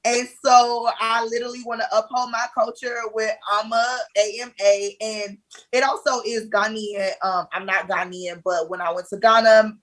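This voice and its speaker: A woman's voice: a little high, little pitch movement, normal speed. Confident Southern woman guest. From Southern United States. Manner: confident, frank, laid-back. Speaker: guest, activist and speaker. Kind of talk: casual interview. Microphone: video call.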